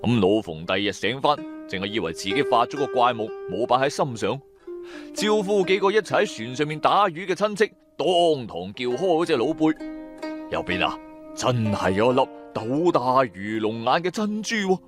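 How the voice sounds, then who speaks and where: A man's voice: mid-range voice, sing-song, normal speed. A man with a Cantonese accent narrating a dramatised first-person story in a theatrical, colloquial way. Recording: background music under the voice.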